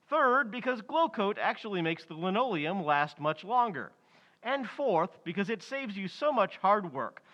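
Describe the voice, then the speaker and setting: An actor playing an enthusiastic, polished sponsor announcer, selling in 1940s radio style. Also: male voice, high-pitched, a bit bright, highs cut off, full character voice. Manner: polished, salesmanlike. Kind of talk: 1940s radio advertising pitch.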